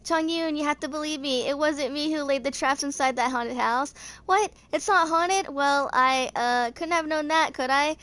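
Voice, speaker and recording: Mischievous chatty teen boy, played by a woman reader, raised pitch, playful mock innocence, game story. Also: moderate pace, fairly bright tone. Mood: playfully earnest, then feigned surprise. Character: mischievous, chatty teenage boy teasing his friend.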